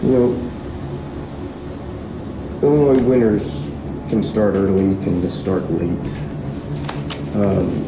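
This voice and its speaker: A man plays a small-town police chief in a fiction podcast, low and gravelly. He questions patiently and firmly, leaving measured pauses, with music running under the scene. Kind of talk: naturalistic fiction podcast acting. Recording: roomy echo, music underneath.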